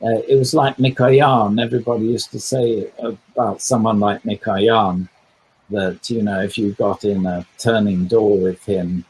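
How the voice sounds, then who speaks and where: A man's voice: fairly low pitch, steady tone, a little slow, a little mellow, slightly rough, low-quality recording. A man speaking as a historian guest in a conversational book talk, thoughtful and digressive, with frequent hesitations.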